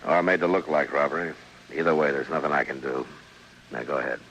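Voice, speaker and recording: An actor playing a stern, weary frontier marshal in an old radio western, grave and plain-spoken. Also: male voice, extremely low pitch, fast talker, muffled recording. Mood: grave, resigned. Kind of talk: old-time radio western drama acting. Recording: noisy old radio drama recording.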